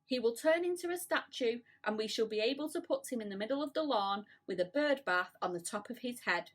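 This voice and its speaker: A woman voicing a gloomy male earthworm in a storybook, eagerly and brightly relishing morbid ideas. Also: fairly high pitch, animated, a little fast, pitched above the performer's own voice. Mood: gleefully gloomy.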